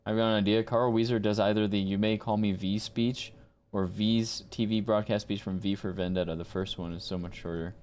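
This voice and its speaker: A man's voice: fairly low pitch, fairly even intonation, brisk, few pauses, clean tone. A man who works as a voice actor, chatting casually and playfully to his livestream audience in a musing way. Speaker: voice actor and live streamer. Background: soft plucked guitar music.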